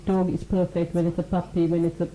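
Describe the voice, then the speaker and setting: A woman's voice: on the low side, level tone, fast talker, dark tone, echoey room, a little hiss or hum, low-quality recording. A woman who teaches mindfulness and talks earnestly on a radio show, explaining ideas through everyday examples and acted-out quotes. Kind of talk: conversational radio talk.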